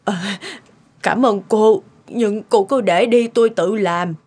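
A woman voice-acting mild, caring older mothers in an animated moral tale. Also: medium pitch, takes it slowly.

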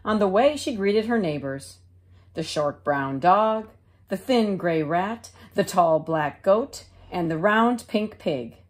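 A woman teacher reading a children's storybook aloud in a measured, expressive way. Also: medium pitch. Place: living room couch, indoors.

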